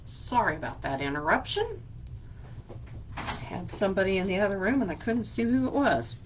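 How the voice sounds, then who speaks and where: A woman's voice: medium pitch, sing-song, moderate pace, a little hiss or hum, rough recording. A woman librarian leading a children's craft lesson, speaking patiently and asking simple questions as a teacher would.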